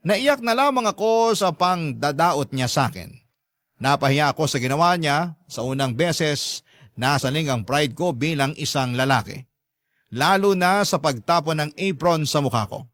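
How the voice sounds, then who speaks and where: Slightly high male storyteller, dry sound. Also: animated, moderate pace, fairly bright tone, no echo. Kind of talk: first-person scripted dramatic narration.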